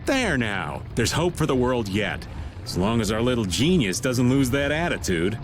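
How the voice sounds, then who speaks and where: A relaxed, wisecracking older man from a video game, played by an actor, drawling his lines with lots of up-and-down swing. Clear game music plays underneath. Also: mid-range voice, normal speed, natural voice. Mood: easygoing. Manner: easygoing, teasing drawl.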